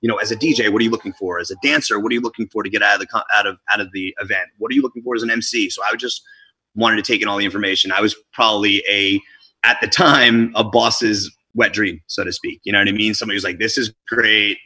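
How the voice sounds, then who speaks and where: A man's voice: fairly low pitch, quick pace, breathy edge, dry recording, low-quality recording. A young man born in Colombia and raised in New Jersey, talking casually and energetically as a DJ and MC guest in an interview. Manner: energetic, talkative, street-casual.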